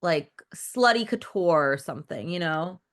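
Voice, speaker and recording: A woman's voice: fairly low pitch, lively intonation, measured pace, a bit bright. A woman in her thirties, a director guest in a casual interview, talking in a rambling, loose way, full of "like" and restarts, and swearing frankly.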